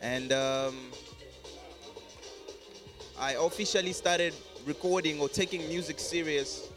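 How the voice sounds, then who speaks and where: A man's voice: fairly high pitch, slow pace, over music. A man of twenty-six from Congo, raised across Africa, chatting casually as an interview guest with slangy, repeated tag phrases. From Congo, grew up across Africa. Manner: slangy, frequent "you know what I mean" tags. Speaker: podcast guest, musical artist.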